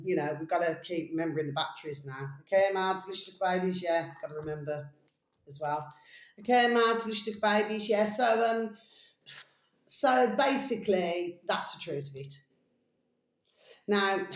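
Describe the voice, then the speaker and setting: A tired woman video blogger chatting casually and ramblingly to her viewers, pausing often and repeating pet endearments. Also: fairly low pitch. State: mentally tired, drained. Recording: echoey, roomy sound.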